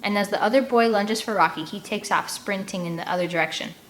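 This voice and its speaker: A woman reading an audiobook aloud as host, with expressive narration and casual delivery. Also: medium pitch, fast talker, a little hiss or hum.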